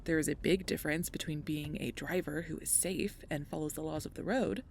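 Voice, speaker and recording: A performer playing a sarcastic, protective young supernatural hunter in an intimate audio role-play, with casual, wry and often breathy banter. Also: mid-range voice, fairly even intonation, a little fast, a little mellow, slight background noise, lightly acted. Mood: teasing, stubborn.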